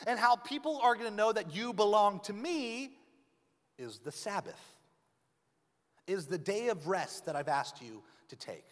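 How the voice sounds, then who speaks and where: A man delivers a sermon from the pulpit in a high, animated voice. He tells stories with humor and switches voices for the people he quotes. His voice rings with the long reverb of a church hall. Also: unhurried. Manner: lively, joking storyteller, acts out quoted voices. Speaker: pastor. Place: church sanctuary.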